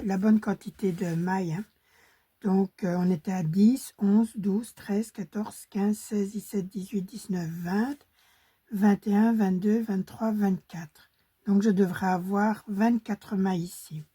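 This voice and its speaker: Hoarse Belgian woman teaching crochet, slow. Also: mid-range voice, no room sound. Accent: Belgian French. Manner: calm, counts stitches under her breath. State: hoarse from a cold. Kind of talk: step-by-step crochet tutorial.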